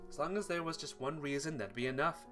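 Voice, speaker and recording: A man's voice: fairly high pitch, quick pace, over music. A man narrating a visual novel playthrough, reading the story text aloud.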